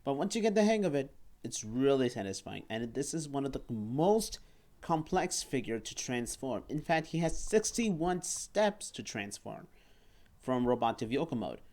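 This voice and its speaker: A man reviewing and demonstrating a toy at a table indoors, talking haltingly and often repeating words and phrases. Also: mid-range voice, very animated, darkish tone.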